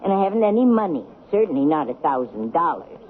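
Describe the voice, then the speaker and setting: A prim, pious old lady in a radio play, fairly low in pitch with very lively intonation. She is genteel and moralizing, gentle yet unbending. The recording is narrow and tinny. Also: a little hiss or hum. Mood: flustered but steadfast. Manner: proper, moralizing, deliberate. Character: prim, pious elderly female cousin, gentle but unbending. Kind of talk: 1970s radio drama acting.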